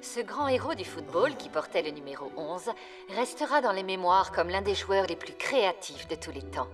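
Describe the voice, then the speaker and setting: A woman's voice: fairly low pitch, sing-song, normal speed, faint music behind. A woman playing a museum guide in a cartoon, delivering formal, presentational scripted narration. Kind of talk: scripted documentary-like narration in a French cartoon dub.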